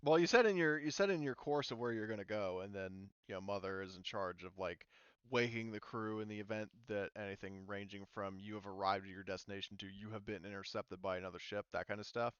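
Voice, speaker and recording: A man narrating a tabletop roleplaying game casually as game master, haltingly thinking aloud as he goes. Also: lowish voice, fairly bright tone, clean tone, no echo, dull audio. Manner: halting, thinking aloud while checking rules.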